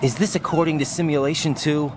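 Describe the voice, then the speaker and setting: A man's voice: a little high, fairly even intonation, fast talker, a little hiss or hum, lightly acted. An actor playing an anxious young special operative in a video game, urgent and incredulous as he questions things. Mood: uneasy.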